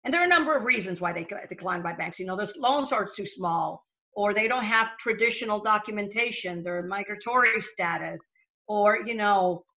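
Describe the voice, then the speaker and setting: A woman's voice: mid-range voice, moderate pace, pushed and bright. A middle-aged woman from Venezuela, speaking earnestly as a panel guest and repeating words as she starts sentences. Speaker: guest, lender to small businesses. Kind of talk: panel discussion. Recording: dull lo-fi sound.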